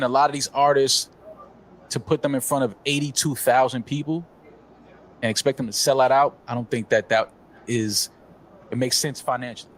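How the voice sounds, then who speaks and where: Mid-pitched man giving measured opinions. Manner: opinionated, measured, many fillers. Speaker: podcast co-host. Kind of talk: casual chat.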